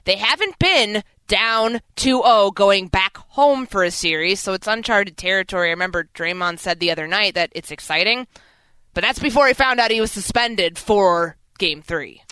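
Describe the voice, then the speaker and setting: A woman's voice: medium pitch, normal speed, bright, forceful tone, clear, resonant tone, no room sound, low-quality recording. A woman hosting sports talk radio, giving fluent, assertive commentary.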